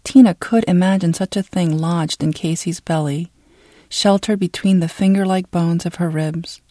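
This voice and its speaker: An audiobook narrator reads a scripted literary story. The delivery is measured and dramatic, at a medium pitch. The recording is close and dry. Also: steady tone, warm tone, dry recording.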